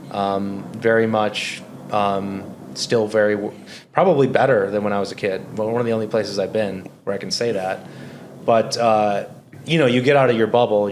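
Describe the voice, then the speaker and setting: A man's voice: on the low side, a little hiss or hum. A man from Minnesota, a guest in a conversational interview, who talks in an earnest, reflective way. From Minnesota, United States.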